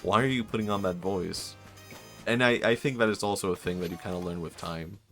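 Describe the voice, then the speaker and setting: A young man chats live in a very low voice, rambling and hesitant, full of fillers and restarts. He pokes fun at himself as he goes. Faint music plays under his voice. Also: fairly quick. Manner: self-mocking, laughs softly. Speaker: streamer. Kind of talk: casual live-stream chat.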